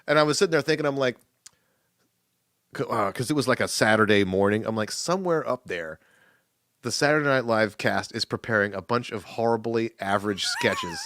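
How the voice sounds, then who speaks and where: A man co-hosting a podcast in casual chat, telling animated stories, acting out quotes and laughing often. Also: mid-range voice, moderate pace, no room sound. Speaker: podcast co-host.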